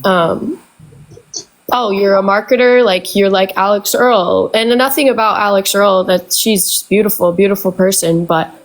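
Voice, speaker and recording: A woman's voice: medium pitch, normal speed, rough recording. A woman in her twenties, congested from the flu, calling in and talking through her dating troubles with lots of fillers and restarts. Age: 20s. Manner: rambling, many fillers and restarts. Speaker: caller on a live stream. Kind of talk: casual advice-seeking chat.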